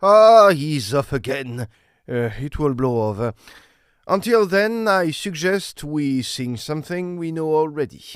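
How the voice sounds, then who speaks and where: A man's voice: on the high side, animated, unhurried, smooth, dry recording. An actor playing a flustered, fussy male opera maestro in an audio farce, hesitant and muttering. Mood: brisk, patient. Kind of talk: farcical audio drama acting.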